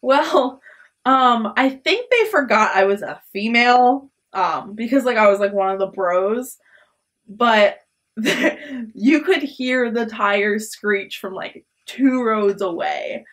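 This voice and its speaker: A woman's voice: on the high side, animated, slow, a bit bright. Young woman vlogger, chatty storytime, lots of ums and likes, laughs a lot. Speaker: vlog host. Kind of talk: casual storytelling.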